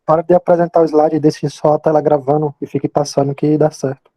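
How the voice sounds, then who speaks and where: A man's voice: on the high side, little pitch movement, fairly quick, dark tone, no room sound, rough recording. A young man with a Brazilian accent, a student casually explaining things during an online class.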